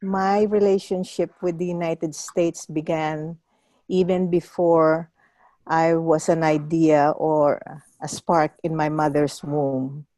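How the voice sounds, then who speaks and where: Older Filipino-accented woman, Kapampangan native, slow reflective introduction over a video call, lowish voice. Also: flat delivery, warm tone, no echo. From Philippines. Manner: reflective, measured storytelling. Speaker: workshop facilitator. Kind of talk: online workshop self-introduction.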